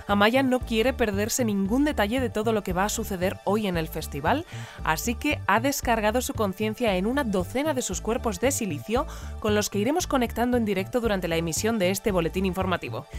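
A woman playing a composed news anchor in a sci-fi audio drama, reading a scripted bulletin in a formal, measured way. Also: medium pitch, close to an everyday voice. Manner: formal, measured announcer delivery. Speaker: newscast presenter. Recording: music bed under the voice. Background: music.

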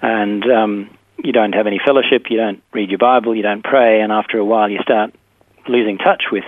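A middle-aged man from South Africa, a teacher and filmmaker, telling his story thoughtfully with many pauses and fillers over the phone from a school room. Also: fairly low pitch, fairly even intonation, dry recording. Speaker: interview guest, teacher and filmmaker. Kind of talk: phone interview, casual storytelling. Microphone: telephone. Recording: tinny dry phone line.

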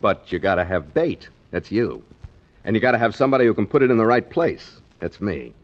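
A man's voice: on the low side, lively intonation, brisk. An actor playing a cocky, scheming waterfront crook with slangy tough-guy talk in 1940s radio style. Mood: pushy. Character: scheming, cocky waterfront crook sweet-talking his moll. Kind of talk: 1940s radio crime acting. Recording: muffled 1940s radio recording.

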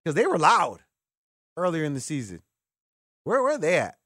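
A man's voice: a little high, huge pitch swings, normal speed, pushed and bright, no room sound, clean studio sound. A man hosting a sports call-in radio show, talking casually and giving opinions, repeating words for emphasis. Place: radio studio. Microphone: close studio mic.

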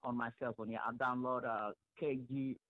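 A man with an Arabic accent calling in to a radio talk show, speaking haltingly and repeating himself as he searches for words. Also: mid-range voice, level tone, no room sound. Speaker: caller. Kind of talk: phone-in call. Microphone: phone. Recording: muffled phone line.

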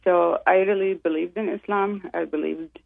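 A woman from Saudi Arabia, a guest on a radio show, telling her personal story in an earnest, soft-spoken way. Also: fairly low pitch, fairly even intonation, moderate pace, gravelly. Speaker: call-in guest. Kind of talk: personal testimony. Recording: tinny phone line.

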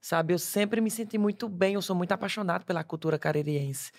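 Cearense man, twenties, gravelly, chatty guest. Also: high-pitched, fairly quick. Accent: Cearense Brazilian Portuguese. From Ceará, Brazil. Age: 20s. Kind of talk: casual conversation.

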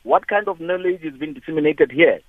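South African male caller, impassioned, stumbling restarts, fairly high voice, tinny phone line, dry sound. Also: huge pitch swings, a little fast, vocal fry, no echo. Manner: impassioned, repeats words, many restarts. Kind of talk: call-in radio comment.

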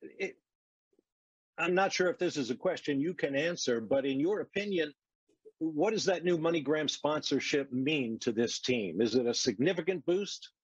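A man's voice: a little high, lively intonation, moderate pace, breathy edge, dry recording. A man doing a broadcast motorsport interview as the interviewer, with a polished, wordy delivery and wry asides. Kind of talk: broadcast interview, scripted intro. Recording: dull, muffled lo-fi audio.

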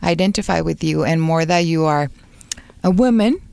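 A woman co-hosting a podcast in casual chat, mixing English and Spanish, encouraging and chatty with many pauses. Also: sits low, gravelly, a little mellow, no room sound. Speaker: podcast co-host.

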